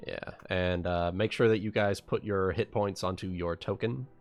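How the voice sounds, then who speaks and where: A man casually narrating as game master of a tabletop roleplaying game, thinking aloud in a halting way. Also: low voice, fairly even intonation, muffled recording.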